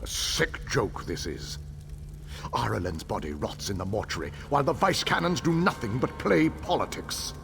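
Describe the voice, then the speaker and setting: A man's voice: lowish voice, very animated, moderate pace, vocal fry, a bit bright, slight background noise, exaggerated voice. An actor playing a bitter, scornful elf man in a fantasy video game, haughty and theatrical in his grief and outrage. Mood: outraged scorn.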